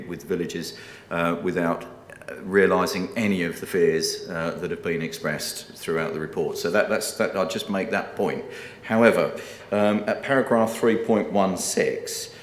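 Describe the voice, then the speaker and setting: A man councillor making a point in a council debate, earnest and halting, with many uhs and repeated words. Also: low-pitched, roomy sound, long reverb tail, a little hiss or hum.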